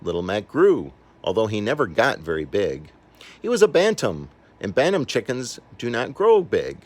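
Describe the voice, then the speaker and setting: Older man reading a children's story, animated. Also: fairly low pitch, moderate pace. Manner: animated, acts out the characters. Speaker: storybook reader. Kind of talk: expressive read-aloud of a children's story.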